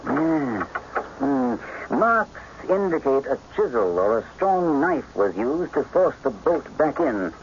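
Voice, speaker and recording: A man playing a polite, methodical detective who asks measured, probing questions in a radio drama. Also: medium pitch, very animated. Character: shrewd English gentleman detective, polite, coolly methodical. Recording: muffled radio drama recording, faint noise.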